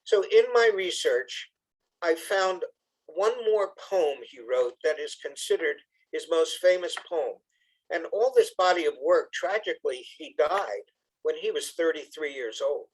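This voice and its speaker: A man reading poetry and introducing it informally to an audience, in a measured, expressive way. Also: a little high, breathy edge, poor audio. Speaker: congregation member reading poetry.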